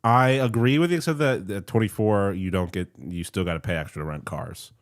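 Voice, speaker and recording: A man in his late twenties with a low voice, talking casually during a hockey watchalong. He jokes around and laughs easily with his co-hosts. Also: fairly quick. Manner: laughs easily, chatty and joking. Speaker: podcast co-host.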